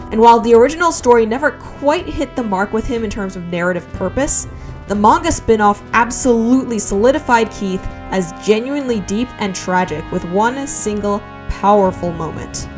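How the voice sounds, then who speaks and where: A woman with a fairly high voice narrates a scripted video essay. She is wry and conversational, slipping in asides, with light music underneath. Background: background music.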